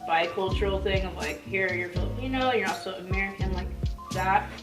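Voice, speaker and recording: A young woman from California chatting casually over dinner, explaining things quickly with lots of fillers. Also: mid-range voice. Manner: fast, filler-heavy explaining. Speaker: host. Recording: roomy, mic set off at a distance, music under.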